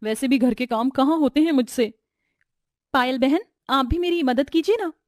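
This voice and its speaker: Woman acting a whiny, lazy young wife, high-pitched. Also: normal speed, no room sound, clean studio sound, close to an everyday voice. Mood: whining. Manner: complaining, self-pitying, wheedling. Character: lazy, whining young daughter-in-law.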